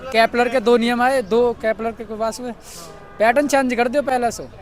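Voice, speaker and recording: A man's voice: very high-pitched, fairly even intonation, moderate pace, a crowd in the background. A young man chatting colloquially in an interview. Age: young adult. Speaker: exam candidate being interviewed. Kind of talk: casual colloquial chat. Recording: crowded outdoor street recording.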